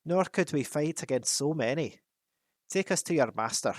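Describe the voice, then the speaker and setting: A man voicing a proud, solemn dwarf king who speaks in a loud, stately way. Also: on the high side, lively intonation, normal speed, no room sound, studio quality, pitched above the performer's own voice. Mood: commanding.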